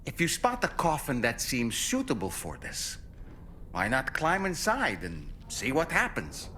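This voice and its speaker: Thoughtful game adviser, articulate, bright, animated intonation, faint background noise. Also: male voice, mid-range voice, a little slow, full character voice. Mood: reflective. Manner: articulate, reflective. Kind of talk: video game narration to player.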